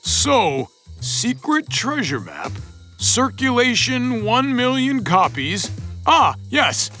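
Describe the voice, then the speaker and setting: Bright, cautious cartoon moose. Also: male voice, extremely high pitch, huge pitch swings, takes it slowly, faint music behind, full character voice. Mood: pondering. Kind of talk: animated children's cartoon voice acting.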